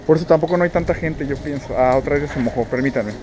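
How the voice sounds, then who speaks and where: A man's voice: a little high, fast talker. A Mexican man with a Mexican accent, vlogging while he walks and asks his friend many questions, filmed on an action camera held at arm's length. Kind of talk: casual chat while walking. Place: outdoors on a rainy city street and in a shopping arcade. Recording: noisy street background.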